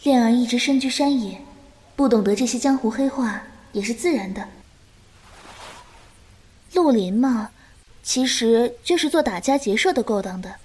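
A woman as a composed, high-voiced elder-sister swordswoman. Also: lively intonation, normal speed, pauses often, a little mellow, husky. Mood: patient, teasing. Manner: composed, coaxing, righteous lecturing. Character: calm, principled, protective elder-sister swordswoman. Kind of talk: Chinese martial-arts audio drama.

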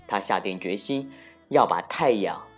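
A woman narrating a folktale for children as an expressive storyteller, deliberate and with dramatic emphasis. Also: a bass voice. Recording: muffled lo-fi recording with music.

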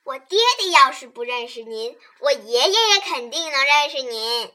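A woman voicing lively, playful small children in a children's radio play, excitable and bookishly polite. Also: high-pitched, normal speed. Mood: curious.

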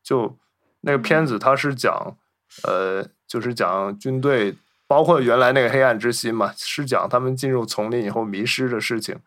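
A man's voice: medium pitch, normal speed, a bit bright. A man hosting a film podcast, chatting casually and telling anecdotes in a wordy, repetitive way.

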